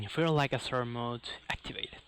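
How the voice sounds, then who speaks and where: Male speedrunner commentating over loud game music. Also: medium pitch, expressive, unhurried, vocal fry, low-quality recording. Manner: non-native English phrasing, playful asides. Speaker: speedrunner commentating own run. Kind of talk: live speedrun commentary, casual. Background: loud video game music.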